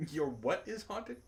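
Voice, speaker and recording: Male host, very expressive swings in pitch, mock-dramatic delivery for casual comedic reading and banter. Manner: animated, mock-dramatic, breaks into laughter.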